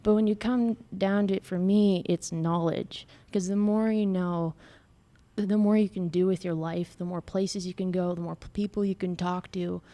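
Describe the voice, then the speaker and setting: A young woman, a graduating student, talking earnestly and quickly in a casual interview. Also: on the low side, steady tone, dark tone.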